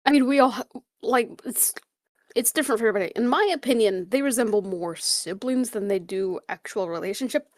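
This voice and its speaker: A woman's voice: on the high side, brisk, no echo, studio quality. A young woman in casual chat as podcast co-host, wry and opinionated.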